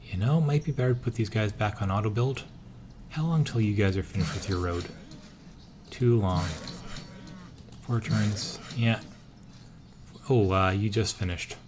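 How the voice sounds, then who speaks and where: A hoarse man casually thinking aloud, with many pauses and filler sounds, as he narrates his moves in a strategy game. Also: on the low side, background noise. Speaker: let's-play gamer.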